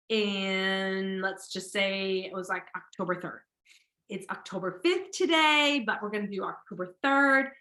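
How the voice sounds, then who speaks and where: Bright, slow-talking, upbeat woman host. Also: medium pitch, echoey room. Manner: enthusiastic, upbeat, repeats words for emphasis. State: slight sniffle, a bit nasal. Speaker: podcast host. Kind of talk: casual solo podcast talk.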